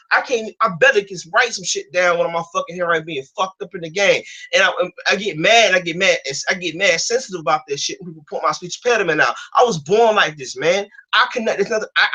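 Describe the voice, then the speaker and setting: A man's voice: high voice, bright, forceful tone, breathy edge, low-quality recording. A man, ranting profanely on a live stream and stuttering often. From Atlanta, USA. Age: 20s. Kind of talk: casual chat, ranting.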